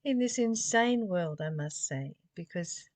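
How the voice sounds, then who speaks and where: A woman's voice: fairly low pitch, animated, a little slow, a little mellow, dry recording. A woman reading spiritual text aloud and musing on it informally, in a reflective way with rhetorical questions. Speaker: spiritual study reader and commentator.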